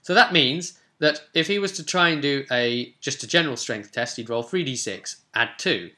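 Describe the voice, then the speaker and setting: A man's voice: medium pitch, expressive, normal speed, sharp tone. A man in his twenties chatting casually and thinking aloud as he explains a tabletop game to viewers. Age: 20s. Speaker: host of a tabletop roleplay channel. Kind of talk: casual explanatory chat while rolling a game character. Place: small home room, indoors. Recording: roomy echo, background hiss and clicks.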